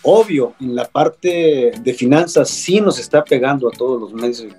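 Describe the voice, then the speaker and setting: A man's voice: mid-range voice, normal speed, mellow, husky, faint music behind. Hesitant middle-aged man, radio veteran. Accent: Mexican Spanish. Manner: hesitant, many fillers. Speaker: radio broadcaster guest. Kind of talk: panel conversation.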